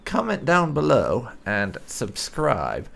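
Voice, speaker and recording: A man's voice: medium pitch, huge pitch swings, slow pace, creaky, a bit bright. A man giving casual let's play commentary as a gaming host, musing aloud with wry asides and some roleplay.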